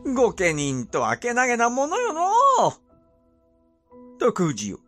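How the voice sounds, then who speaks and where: An actor playing a capricious, cruel regent who speaks in an affected, gloating lordly way in a Japanese period audio drama. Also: male voice, very high voice, wildly swooping pitch, slow pace, fairly bright tone, faint music behind, full character voice. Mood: haughty amusement.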